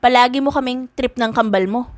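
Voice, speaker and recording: A woman's voice: fairly high pitch, fairly quick, dry recording, natural voice. A woman doing a cocky boyish young woman. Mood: teasing, annoyed. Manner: brash, blunt.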